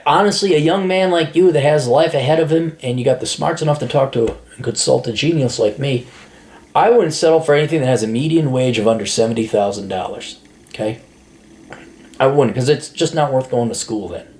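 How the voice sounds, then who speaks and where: A man's voice: medium pitch, darkish tone. A man hosting a podcast, giving blunt, profane career advice in a rambling, casual monologue full of restarts.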